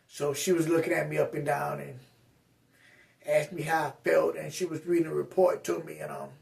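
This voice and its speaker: A man's voice: fairly high pitch, flat delivery, brisk, gravelly, darkish tone, recorded from a distance. A man vlogging a casual personal update to his viewers, with slurred speech and a rambling, straight-talking way of speaking full of fillers and restarts.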